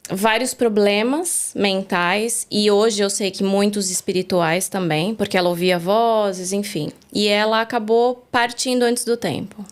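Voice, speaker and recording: A woman's voice: medium pitch, fairly even intonation, measured pace, few pauses. A woman from Brazil, the guest on a faith interview, telling her story in an earnest, reflective way, recorded in a studio.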